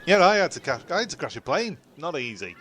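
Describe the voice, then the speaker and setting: A man playing a chatty, sweary castaway who talks haltingly and repeats himself in an amateur comedy drama. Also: on the high side, very animated, a bit bright, a little hiss or hum. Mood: exasperated. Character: sweary, chatty castaway bloke named John.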